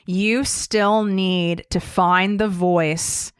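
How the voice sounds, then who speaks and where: Emphatic American woman coaching, crisp and dry. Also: medium pitch, steady tone, extremely slow, no room sound. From United States. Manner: emphatic, blunt, swears casually. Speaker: podcast host. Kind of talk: casual solo coaching talk.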